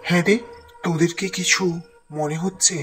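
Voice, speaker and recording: A woman voicing an uneasy, hesitant young man in a horror cartoon, with halting delivery. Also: lowish voice, fairly even intonation, fairly bright tone, soft background music, close to an everyday voice.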